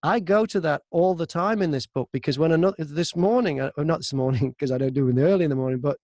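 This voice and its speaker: A man's voice: a little high, fast, darkish tone, no echo. A man talking casually as an interview guest, telling stories about his work as an audiobook narrator and acting out remembered exchanges.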